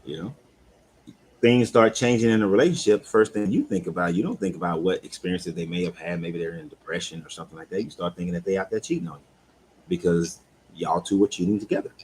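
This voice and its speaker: Man, fifties, very deep voice, laid-back live-stream coach, candid and conversational. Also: fairly even intonation, fast, darkish tone, a little hiss or hum, rough recording. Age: 50s. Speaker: life coach hosting a live talk. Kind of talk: casual live-stream chat.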